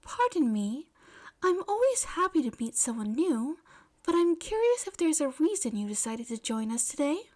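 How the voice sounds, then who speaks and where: A woman's voice: high-pitched, sing-song, moderate pace, a little mellow, pitched above the performer's own voice. Woman playing a polite, flustered schoolgirl. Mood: polite, puzzled. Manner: hesitant, formal, courteous.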